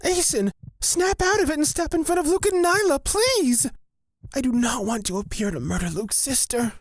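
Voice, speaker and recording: A woman playing a pensive male witch-cleric in an audio drama, thinking aloud in a deliberate, dryly wry way. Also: high-pitched, no echo, studio quality, close to an everyday voice. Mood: urgent.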